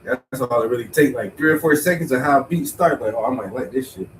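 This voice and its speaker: A man thinking aloud in a relaxed, rambling way as he paints, sounding stuffy and tired with a cold. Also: mid-range voice, fast, distant mic, slight background noise. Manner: laid-back, rambling, thinking aloud, swears casually. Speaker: painter streaming while painting. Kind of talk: casual talk to himself while painting.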